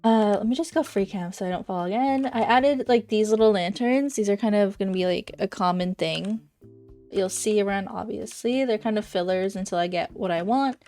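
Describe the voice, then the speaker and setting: A woman's voice: medium pitch, moderate pace, a little mellow, soft background music. A young woman with a slightly congested voice, chatting casually and rambling as she shows off a build in a game. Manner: chatty, rambling, self-correcting. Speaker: Minecraft YouTuber. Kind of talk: casual gameplay commentary.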